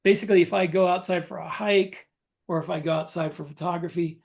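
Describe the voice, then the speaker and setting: A man's voice: high-pitched, fairly even intonation, brisk, creaky. A man talking casually as a podcast host, informal and given to repeating points for emphasis.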